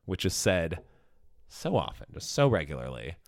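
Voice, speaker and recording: A man's voice: low voice, big pitch swings, normal speed, gravelly, a little mellow, no echo. A man co-hosting a podcast, chatting casually about sports with dry, wry humor. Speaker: podcast co-host.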